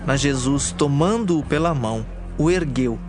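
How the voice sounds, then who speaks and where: A man's voice: medium pitch, animated, unhurried, music in the background. A man with a Brazilian accent narrating a dramatized scripture in a scripted storytelling style.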